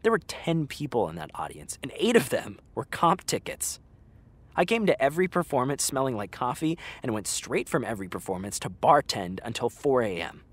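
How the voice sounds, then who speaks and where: A man's voice: mid-range voice, big pitch swings, moderate pace, slightly rough. A man playing a hurt, fiery actor fed up with an old friend, speaking emphatically and sarcastically in a naturalistic audio drama. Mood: bitter, wounded.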